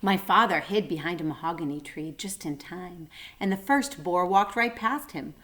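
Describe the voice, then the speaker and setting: A woman's voice: fairly low pitch, animated, normal speed, fairly bright tone. A woman reading a children's storybook aloud, adding short asides for young listeners.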